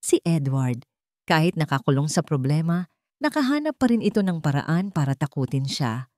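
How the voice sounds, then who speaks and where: A woman's voice: deep voice, very animated, normal speed, dark tone, no room sound. A woman with a Filipino accent reading a scripted dramatic story as its narrator.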